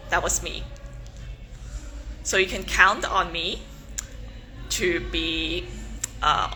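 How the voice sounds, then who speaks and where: A young woman from Hong Kong, a native Cantonese speaker, introduces herself on a casual livestream, slowly and with many ums and restarts. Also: on the low side, bright, forceful tone, poor audio. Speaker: pageant contestant.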